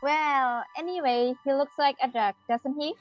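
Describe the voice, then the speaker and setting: A woman's voice: high-pitched, unhurried. A woman playing a friendly, mysterious head chef in a readers theater drama, speaking like a mentor. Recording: muffled audio with background music.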